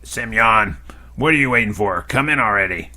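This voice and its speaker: Bossy camp leader lady giving orders, kinda scolding, voice pushed up higher, guy doing her voice. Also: animated, moderate pace, pushed and bright, full character voice. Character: bossy female camp leader, stern adult woman.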